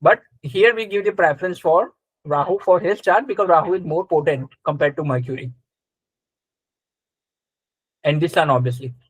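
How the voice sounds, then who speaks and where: Higher-pitched man teaching a class. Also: fairly quick, husky, dry recording, rough recording. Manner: conversational, checks understanding with short questions. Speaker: astrology teacher. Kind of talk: live interactive class, explaining and quizzing students.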